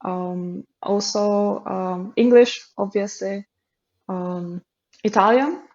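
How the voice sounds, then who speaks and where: A woman's voice: mid-range voice, takes it slowly. Laughing Macedonian woman on a dull line. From North Macedonia. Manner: laughs often, relaxed and friendly. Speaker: podcast guest. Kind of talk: casual interview chat.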